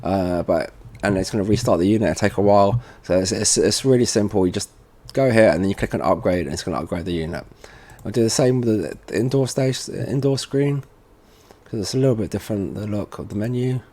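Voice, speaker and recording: A man with a fairly low, very soft-edged, mellow voice presents a screen tutorial. He talks informally and thinks aloud as he goes through each step. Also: fast. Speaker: tutorial presenter for a security products seller. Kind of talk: casual software walkthrough narration.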